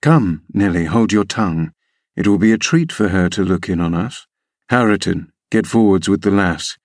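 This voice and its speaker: A man reading an audiobook, voicing a brooding, sarcastic middle-aged man in a low voice. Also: expressive, moderate pace, no room sound, studio quality. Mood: sardonic.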